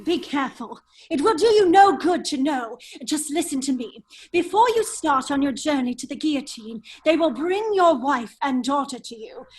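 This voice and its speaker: A woman's voice: high-pitched, lively intonation, normal speed. A woman reading the part of a daring English baronet with a secret double life in a radio drama. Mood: urgent, secretive. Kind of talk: table read of a 1930s-style radio drama.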